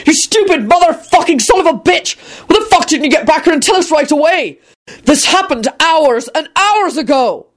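Someone acting out a furious sweary guy in an audiobook, scornful, pitch jumps way up. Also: sing-song, moderate pace, gravelly, few pauses. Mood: enraged. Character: wrathful foul-mouthed man, Quentin, bellowing and sneering.

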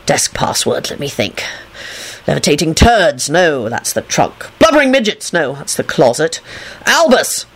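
A woman's voice: low voice, sing-song, unhurried, husky, exaggerated voice. A woman voicing a frantic, sardonic adult male wizard in a storybook, swearing and pleading. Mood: muttering. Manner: frantic, sweary, muttering or hollering.

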